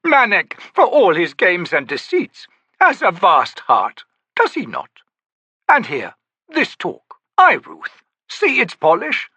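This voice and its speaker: Picture a grand, self-delighted trickster talking about himself in the third person, voiced by a man high and theatrical, his pitch soaring and dipping wildly. The tone is bright and a bit husky, recorded dry and close for a storybook reading. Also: huge pitch swings, slow pace, no room sound, full character voice. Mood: grandiloquent. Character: pompous flowery male trickster scholar. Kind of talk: audiobook character voice.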